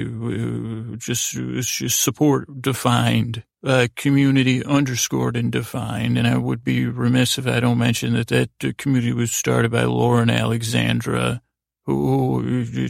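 Very creaky-voiced man, rambling podcast host. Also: mid-range voice, dry recording, studio quality. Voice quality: creaky, gravelly. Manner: halting, rambling, often doubling back mid-sentence. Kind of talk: casual, unscripted podcast intro monologue.

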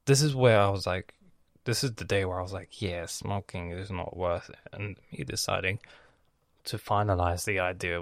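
A man's voice: low-pitched, no room sound, studio quality. A man raised in Lebanon hosting his own podcast, talking in a loose, rambling monologue full of swearing and lost threads. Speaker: podcast host.

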